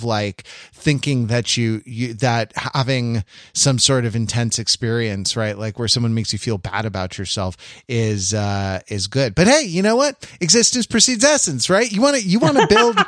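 American male podcast co-host, mid-pitched, dry recording. Halting, analytical, wry, thinks aloud. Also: fairly bright tone, dry recording. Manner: halting, circling through thoughts; wry, joking. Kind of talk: casual analytical chat.

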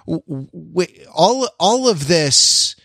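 A man co-hosting a podcast in casual intellectual discussion, wordy and halting, often rephrasing what he has just said. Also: on the high side, very animated, creaky, a bit bright, no echo, studio quality. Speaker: podcast co-host.

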